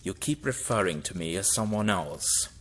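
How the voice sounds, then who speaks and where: An actor playing a wary, inquisitive time-travelling Doctor with dry irony and a halting, musing manner in an audio drama. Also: male voice, deep voice, lively intonation, fairly bright tone, slight background noise. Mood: puzzled, suspicious. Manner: halting, musing, with wry asides.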